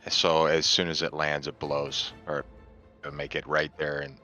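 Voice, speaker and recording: A man playing a tabletop roleplaying game, talking casually and deliberately as he thinks aloud. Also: rumbling low, little pitch movement, bright, forceful tone, faint music behind.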